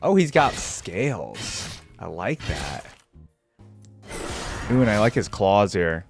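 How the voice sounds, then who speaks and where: A man's voice: lowish voice, big pitch swings, slow pace, music in the background. A man giving casual, slangy reaction commentary as a video host, thinking aloud as he goes.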